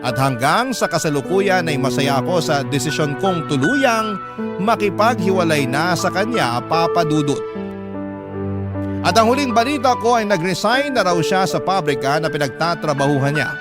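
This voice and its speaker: A man's voice: on the high side, expressive, moderate pace. A man hosting a radio show, narrating a listener's letter as a dramatic first-person story. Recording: prominent music under the voice. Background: background music.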